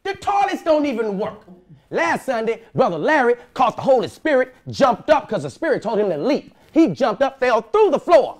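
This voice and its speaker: Aggrieved churchgoer, American, indignant, exclamatory, high pitched, wildly swooping. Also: male voice, sing-song, moderate pace. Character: aggrieved, outspoken male churchgoer. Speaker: plaintiff. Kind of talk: comedic courtroom show acting. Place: courtroom, standing.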